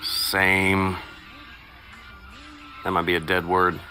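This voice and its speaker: A man's voice: low-pitched, monotone, drawn-out words, bright, forceful tone, faint music behind. A man co-hosting a live stream, chatting casually, reading viewer comments aloud and telling crude, profane stories with a teasing edge. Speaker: stream co-host.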